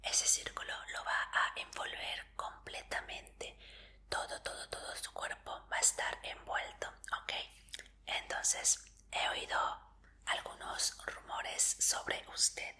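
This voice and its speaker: Slow, whispering woman as a gentle medical examiner. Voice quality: soft breathy whisper. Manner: slow, soothing, polite instructions with encouragement. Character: gentle, attentive female medical examiner preparing a fighter. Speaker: ASMR roleplayer. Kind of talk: whispered Spanish ASMR medical roleplay.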